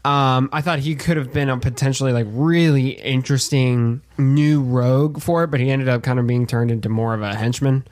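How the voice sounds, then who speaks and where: A man co-hosting a podcast, discussing casually and giving measured, carefully qualified opinions. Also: medium pitch, fairly even intonation, a little mellow. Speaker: podcast co-host.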